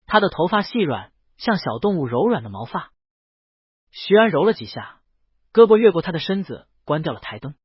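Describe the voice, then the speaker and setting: Animated higher-pitched male story narrator, husky. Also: moderate pace, no echo, highs cut off. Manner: reads character dialogue within the narration. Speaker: audiobook narrator. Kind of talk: scripted romance novel narration.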